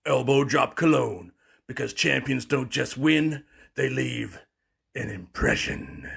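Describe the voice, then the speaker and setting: A man playing a bombastic macho pitchman, dramatic and emphatic, in a parody radio commercial. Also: animated, takes it slowly, gravelly, a bit bright, slightly rough, heavily acted. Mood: triumphant bravado.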